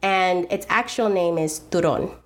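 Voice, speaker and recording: An American woman in her thirties, a food video creator, speaking earnestly and thoughtfully in an interview, often pausing to restart her sentences. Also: fairly low pitch. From United States. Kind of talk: reflective interview conversation.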